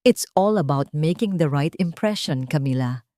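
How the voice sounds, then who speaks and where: A woman's voice: sits low, lively intonation, moderate pace, dark tone, dry recording, studio quality. A woman voicing an arrogant male executive in a romance story, formal and boastful. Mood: smug, boastful.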